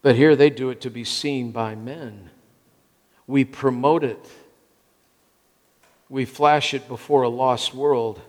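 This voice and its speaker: A man's voice: medium pitch, steady tone, takes it slowly, pauses often. An American man in his sixties preaching a sermon in emphatic short phrases, often repeating them for weight. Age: 60s. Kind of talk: sermon to a congregation. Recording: long reverb of a large hall.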